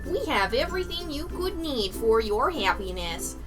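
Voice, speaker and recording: A woman playing a pitchwoman in a parody commercial, delivering a slow, deliberate, oddly gloomy-sweet sales pitch. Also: big pitch swings, over music. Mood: mock soothing.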